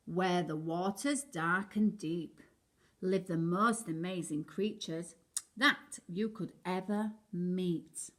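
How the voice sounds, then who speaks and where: A woman reading a rhyming children's picture book aloud in an expressive storytelling style. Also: fairly low pitch.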